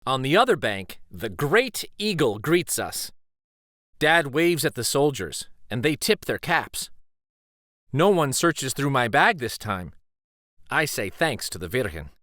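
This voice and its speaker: Male children's storyteller, slow and lively, with varied pitch for picture book narration. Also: dry recording, clean studio sound. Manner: deliberate, animated storytelling delivery. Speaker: children's read-aloud storyteller.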